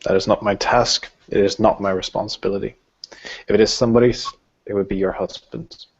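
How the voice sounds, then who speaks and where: A man's voice: lowish voice, steady tone, a little fast, vocal fry, slightly rough, dull audio. A man voicing a stern female warrior in a tabletop game, barely holding back fury, with formal, clipped phrasing. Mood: cold, stern.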